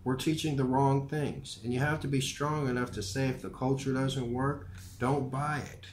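A man voicing a frail, dying old male professor who speaks gently and calmly in an unhurried way. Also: medium pitch, slight background noise, voice pushed up, lightly acted. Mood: earnest. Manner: gentle, unhurried, softly earnest. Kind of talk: audiobook character voice. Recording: roomy echo with faint ambience.

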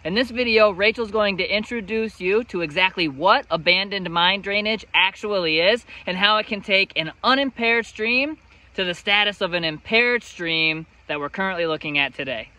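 Bright, high-voiced man hosting outdoors. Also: moderate pace. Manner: formal, announcer-like introduction. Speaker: host for a state wildlife agency. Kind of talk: scripted on-camera introduction. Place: outdoors beside a stream.

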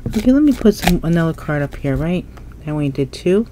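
Woman, stuffy nose, low voice, casual tarot reading, thinking aloud. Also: sing-song, a little fast, noisy background. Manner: musing, thinking aloud while turning cards. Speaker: tarot reader. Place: indoors at a table, hands only in frame.

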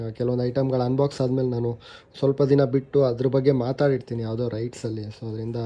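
Young Kannada-accented man, mellow tone, casual chatty reviewer. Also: mid-range voice. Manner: chatty, mixes English words into his talk, self-corrects. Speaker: motovlogger reviewing a helmet intercom. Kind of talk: casual product review and unboxing.

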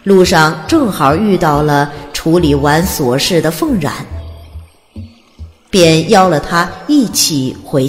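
A woman reading scripted audiobook narration as the storyteller. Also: low voice. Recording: long reverb, music underneath. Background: music.

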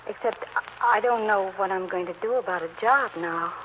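Timid young secretary actress on noisy radio. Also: female voice, medium pitch, moderate pace, lightly acted. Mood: meek, polite. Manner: hesitant, stammering. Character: timid, anxious young secretary, sweetly polite. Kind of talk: 1940s radio acting. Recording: noisy, muffled old radio recording.

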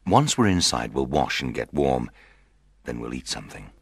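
A woman's voice: low-pitched, huge pitch swings, normal speed, a bit bright, voice pushed down, heavily acted. A woman voicing a domineering, sly young bridegroom with an imperious, emphatic delivery in a dramatised audiobook. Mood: soft, teasing.

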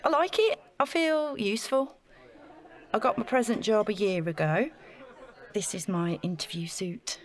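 A woman's voice: mid-range voice, measured pace, natural voice. An actress playing an eager, self-effacing young woman in a naturalistic audio drama, talking in a chatty, gushing way. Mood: proud, chatty.

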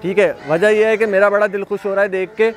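A man's voice: sits high, fairly even intonation, fast talker. A man hosting an on-site walkthrough of livestock, chatting casually and repeating praise often. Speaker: livestock video host. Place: outdoor goat pen at a farm.

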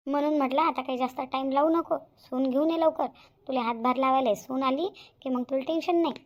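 A woman's voice: high-pitched, a little fast, lightly acted. A woman voicing a nosy, coaxing village neighbour in a cartoon, with a Varhadi Marathi accent. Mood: chatty. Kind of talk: cartoon voice acting.

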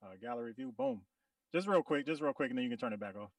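A man's voice: mid-range voice, sing-song, fast talker, gravelly, no echo. A man hosting a group video call, chatting casually and rapping. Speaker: host and rapper. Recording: distant video-call sound over music.

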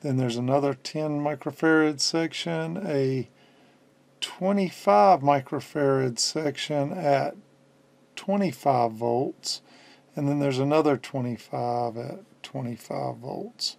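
A man in his fifties giving a casual hands-on demonstration at a workbench indoors, explaining in a halting way as he thinks aloud. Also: medium pitch, creaky, a little mellow. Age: 50s. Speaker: electronics restorer and presenter.

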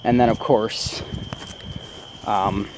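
A man's voice: fairly low pitch, steady tone, very slow. Young guy rambling w lots of ums, handheld cam in his car, faint outdoor noise. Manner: rambling, many ums and restarts. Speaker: vlogger. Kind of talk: casual car walkthrough vlog. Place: in and around a parked car in a driveway, evening. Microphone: handheld camera mic.

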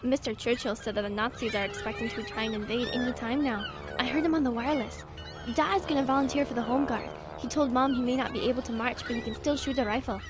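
A woman's voice: on the high side, steady tone, fast talker, over music, lightly acted. A woman playing an eager, curious schoolboy with an English accent in a radio drama. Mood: chatty, confiding. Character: eager, curious British schoolboy named Nigel.

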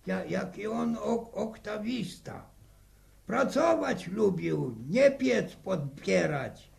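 A man's voice: high voice, animated, slow pace, vocal fry, darkish tone, exaggerated voice. An actor playing a grumbly old peasant grandfather with a rural Polish accent, muttering and stumbling in a 1960s radio drama. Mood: indignant. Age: older. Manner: muttering, stumbling, folksy interjections.

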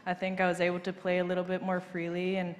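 A young woman in her twenties talking casually on stage into a handheld mic, relaxed, with lots of fillers and light laughs. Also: fairly low pitch, fast talker, lo-fi sound. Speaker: golfer being interviewed on stage. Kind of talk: casual interview. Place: large banquet hall stage. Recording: reverberant hall, faint noise.

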